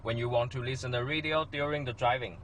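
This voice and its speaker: A man's voice: mid-range voice, fairly even intonation, fast, crowd noise. A man narrates a scripted product demonstration in simple, slightly unidiomatic phrasing. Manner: non-native English phrasing.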